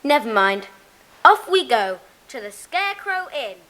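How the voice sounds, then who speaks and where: A woman's voice: sits high, sing-song, a little slow, fairly bright tone, heavily acted. A woman playing a keen, curious schoolchild in a children's audiobook drama. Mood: curious, cheerful. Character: keen, lively schoolchild, curious club member.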